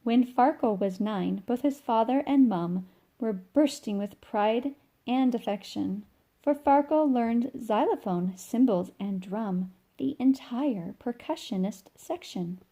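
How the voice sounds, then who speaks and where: Lady reading a rhyming kids book out loud, sing-songy, kinda high but soft mellow tone. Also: slow. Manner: sing-song, expressive storytelling. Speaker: storybook reader. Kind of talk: rhythmic read-aloud of a rhyming children's picture book.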